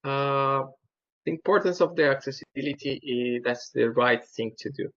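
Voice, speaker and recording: Brazilian-accented male presenter, non-native speaker, halting delivery with lots of fillers, dry muffled video call sound. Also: mid-range voice, fairly bright tone, dry recording. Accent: Brazilian Portuguese. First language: Portuguese. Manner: halting, many fillers and restarts. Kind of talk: webinar presentation.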